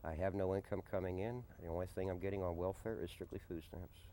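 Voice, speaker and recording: A man being interviewed in a conversational documentary, speaking deliberately and often repeating a question back before answering. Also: deep voice, steady tone, mellow. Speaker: interviewee, desert camp resident and odd-job handyman. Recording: noisy early-90s amateur documentary tape.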